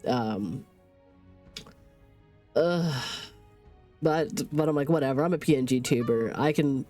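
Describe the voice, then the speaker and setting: A woman's voice: a bass voice, takes it slowly, warm tone, faint music behind. A woman in her thirties streaming from home with a stuffy sinus cold, rambling casually, swearing and laughing.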